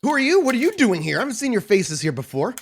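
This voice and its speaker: A man's voice: extremely high pitch, big pitch swings, quick pace, a little hiss or hum, voice pushed up, heavily acted. Man acting as cornered captive, defiant, challenging, stammering, tabletop game character. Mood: indignant, nervous.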